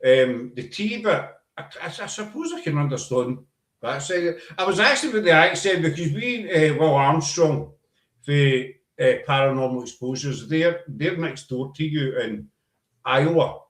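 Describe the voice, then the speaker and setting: A man's voice: a little high, animated, unhurried, fairly bright tone. A man with a Glaswegian Scottish accent, hosting a casual chat and telling rambling anecdotes. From Scotland. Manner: chatty, rambling anecdotes with many fillers. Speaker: podcast host. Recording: echoey room.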